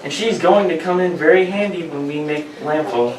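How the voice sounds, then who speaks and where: Guy as earnest crewman sidekick, slightly high voice, far off mic, table read. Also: moderate pace, crowd noise, natural voice. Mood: chiding. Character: loyal, plain-spoken male crewman, the captain's sidekick. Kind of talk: amateur script table read of retro space serial. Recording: roomy, distant mic, chattering group behind.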